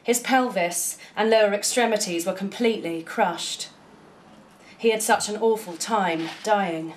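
A young adult woman giving a measured, declamatory reading of poetry as one of two voices, in a small cafe. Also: mid-range voice, slight background noise, low-quality recording. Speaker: poetry reader. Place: small indoor cafe with bookshelves.

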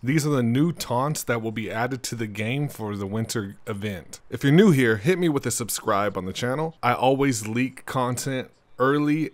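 A male gaming channel host with a medium-pitched voice. He talks straight to his viewers, running through a video outro.

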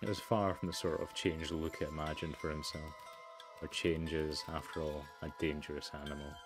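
A man with an extremely deep voice gives low-key commentary on a story game. He reads the text aloud and mutters his own asides, with music playing behind him. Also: flat delivery, moderate pace. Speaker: gamer, let's player.

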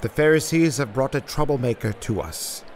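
A man's voice: medium pitch, moderate pace, darkish tone, a little hiss or hum, natural voice. A man acting a formal, dutiful Roman officer in an amateur biblical radio drama, with declamatory delivery.

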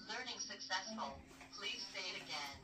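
A synthetic woman's voice giving formal, measured device prompts in short stock phrases. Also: mid-range voice, expressive, sharp tone, far from the mic. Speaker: voice assistant of a robot kit. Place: small device speaker in a meeting room. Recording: echoey small speaker, picked up from afar.

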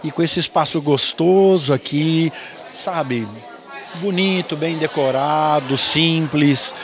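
A man's voice: fairly high pitch, slow, a faint crowd. An older man, a guest at a restaurant, chatting enthusiastically into a handheld mic in a busy dining room. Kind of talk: interview. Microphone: handheld reporter mic.

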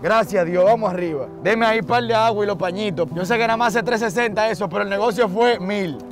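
A man's voice: sits high, fast talker, over music. A man, chatting playfully on a casual vlog, from a car and a home patio. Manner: jokey, playful, talks to camera. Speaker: vlogger host.

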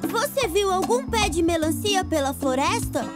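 A very high, squeaky little owl in a children's cartoon, with a Brazilian accent. The young bird sounds childlike, keen and exclamatory, with music loud underneath. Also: expressive, moderate pace. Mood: earnest. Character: bright, enthusiastic small young owl. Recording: music behind the voice.

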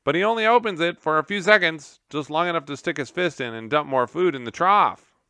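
A man voicing a small, excitable male gerbil who squeaks and prattles quickly. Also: creaky, smooth, no echo. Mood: informative.

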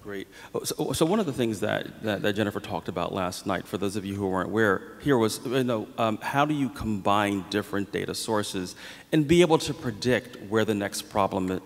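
A man's voice: fairly low pitch, moderate pace. A man on a discussion panel on a theater stage, speaking earnestly with many ums. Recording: long hall reverb from a theater.

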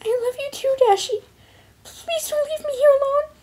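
Very high, squeaky girl pony for a cartoon fan story, sweet-natured and pleading. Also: normal speed, mellow. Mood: loving, pleading. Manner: pleading, affectionate. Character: perky young female pony, tearful and pleading.

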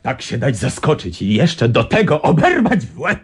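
An actor playing a furious, sarcastic male boss with a very raspy voice in a radio drama. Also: mid-range voice, huge pitch swings, normal speed, full character voice. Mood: angry. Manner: scolding, sarcastic.

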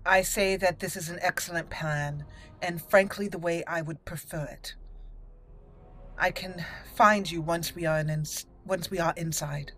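A woman hosting a roleplay playthrough, musing through her commentary and deliberately voicing dialogue choices. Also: on the low side, fairly even intonation, fairly bright tone, soft background music. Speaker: gaming channel host. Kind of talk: roleplay game commentary, reading dialogue in character.

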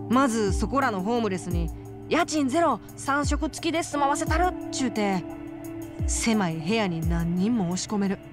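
An actress playing a feisty, righteous, streetwise young woman in a video game, brash and with a Kansai accent. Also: on the high side. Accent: Kansai Japanese. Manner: brash, animated explaining. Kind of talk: video game cutscene acting. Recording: loud music underneath.

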